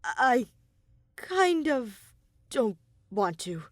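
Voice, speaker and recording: A woman's voice: on the high side, big pitch swings, drawn-out words, no room sound, pitched above the performer's own voice, heavily acted. An anxious young man played by a woman speaks earnestly and hesitantly in audiobook dialogue. He sounds unsure yet determined, recorded close and dry. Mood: nervous.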